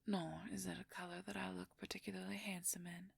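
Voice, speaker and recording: A woman playing a smug, possessive royal werewolf schemer in an audio roleplay, silky and condescending, with a husky, breathy, creaky near-whisper. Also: fairly low pitch, flat delivery, no room sound, full character voice. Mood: smug, courting. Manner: silky, condescending, slow and deliberate. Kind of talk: audio roleplay acting, addressing the listener.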